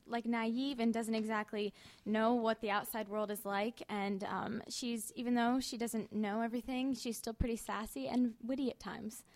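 A teenage girl answering interview questions hesitantly, with many fillers. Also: on the high side. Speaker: student actor.

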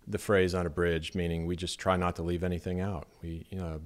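Very deep-voiced middle-aged man. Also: flat delivery. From Las Vegas. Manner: measured, thoughtful, with many fillers. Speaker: architect, interview guest. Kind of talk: relaxed conversational interview.

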